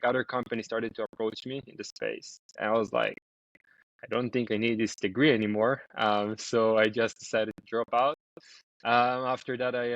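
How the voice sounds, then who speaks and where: A man's voice: on the low side, fairly bright tone, dry recording. Chatty young man from Israel. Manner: loose and chatty, many ums and restarts. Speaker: guest, startup founder. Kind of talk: casual podcast interview. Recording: dry, slightly dull remote audio.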